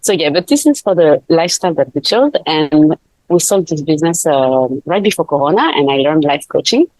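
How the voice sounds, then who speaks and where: Low-voiced woman, laughing easily. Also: lively intonation, brisk, a little mellow, no room sound, poor audio. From Switzerland. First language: not English. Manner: laughs often, many fillers and restarts. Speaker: guest, life coach. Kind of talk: casual interview chat.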